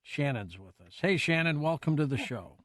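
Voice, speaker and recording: Male call-in host, slightly high pitch, close dry sound, short prompts and caller introductions. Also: lively intonation, moderate pace, fairly bright tone, no room sound. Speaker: radio call-in show host.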